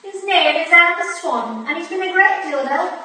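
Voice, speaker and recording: A woman's voice: extremely high pitch, lively intonation, moderate pace, pushed and bright, far from the mic, a little hiss or hum, heavily acted. A woman playing an eager, polite young Victorian maid and protégée in a staged play reading, earnest and deferential, recorded in an echoey hall. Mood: earnest, pleased.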